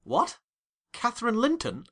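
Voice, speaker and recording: A man voicing a curious, polite gentleman tenant in a storybook reading. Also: very high-pitched, huge pitch swings, unhurried, voice pushed up, heavily acted. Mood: inquisitive. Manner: polite, inquisitive.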